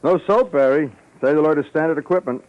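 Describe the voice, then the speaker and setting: An actor playing a seasoned insurance fire expert in an old radio drama, talking shop casually in dry, clipped phrases. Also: male voice, fairly high pitch, fast talker, close to an everyday voice. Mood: matter-of-fact. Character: matter-of-fact, seasoned male insurance arson expert. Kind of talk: 1950s radio drama acting. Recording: slightly muffled old radio recording.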